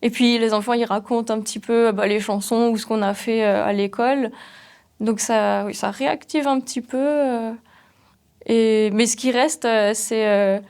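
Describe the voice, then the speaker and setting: Earnest young woman, fairly high voice. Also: fairly even intonation, normal speed, a little mellow, smooth. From Alsace. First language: Alsatian. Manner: thoughtful, hesitant, earnest. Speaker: Alsatian language teacher. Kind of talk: interview testimony.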